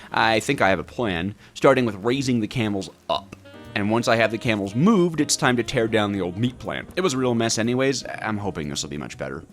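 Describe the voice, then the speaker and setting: A man's voice: fairly low pitch, fairly bright tone. A man delivering scripted video game narration in a theatrical, wryly exasperated way. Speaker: video game player narrating his own playthrough. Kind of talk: scripted gameplay narration with dramatized reactions. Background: background music.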